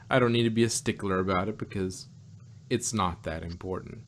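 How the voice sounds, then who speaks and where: A man's voice: deep voice, moderate pace, slight background noise. A man co-hosting a podcast, casually reviewing an audio drama with a rambling, laugh-filled way of talking. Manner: rambling, laughs often, restarts and hedges mid-thought.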